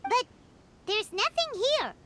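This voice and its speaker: A woman voicing a tiny, childlike, excitable fairy companion in a video game, with a squeaky voice. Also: takes it slowly, heavy background noise, full character voice. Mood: puzzled. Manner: exclaiming, refers to herself by name. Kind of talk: scripted video game dialogue.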